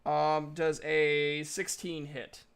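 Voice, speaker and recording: A man narrating a tabletop game as game master in a halting, informal, slangy way. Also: a little high, flat delivery, a bit bright, a little hiss or hum.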